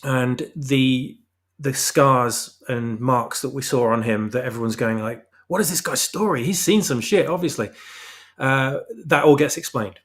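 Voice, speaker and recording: A man speaking as an author being interviewed, explaining casually in a halting but emphatic way, repeating phrases for stress. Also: medium pitch. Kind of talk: casual explanatory chat about own story.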